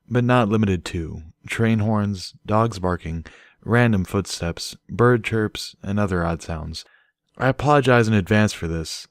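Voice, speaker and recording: A man reading scripted story narration in a measured, earnest way. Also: on the low side, dry recording, clean studio sound. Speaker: audiobook narrator.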